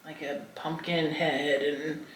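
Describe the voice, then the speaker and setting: A woman's voice: sits low, level tone, slow pace, a little hiss or hum. A woman from the American Midwest with an American accent, co-hosting a casual podcast discussion on faith. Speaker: podcast co-host. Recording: roomy echo.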